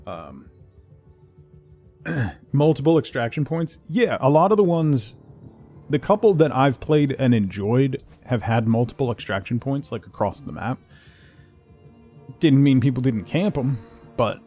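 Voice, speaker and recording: A man's voice: mid-range voice, expressive, a little slow, long pauses, warm tone, soft background music. A man livestreaming a game with casual, conversational commentary, chatting to viewers in a laid-back way.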